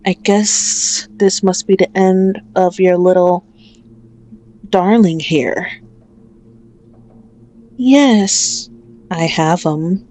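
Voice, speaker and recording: A woman's voice: lowish voice, dark tone, low-quality recording. A woman playing a menacing, dominant mafia boss in an ASMR roleplay, mocking and condescending toward a hostage as she speaks. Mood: gloating, menacing. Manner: slow, mocking, condescending, possessive.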